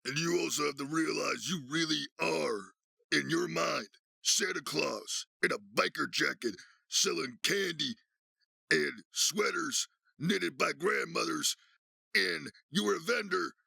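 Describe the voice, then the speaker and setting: A man voicing a brash biker Santa Claus for a game, with a gravelly, strained belly voice and the swagger of an announcer working a crowd. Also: on the high side, slow pace, sharp tone, no room sound, heavily acted. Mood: slick, jolly swagger. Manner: slick, in-your-face salesman swagger. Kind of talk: shouted announcer-style game character voice.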